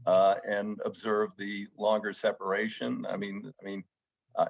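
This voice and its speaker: A man questioning witnesses at a formal hearing in a halting, informal way. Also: fairly low pitch, level tone, gravelly. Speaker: committee member questioning witnesses. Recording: dull, lo-fi meeting audio.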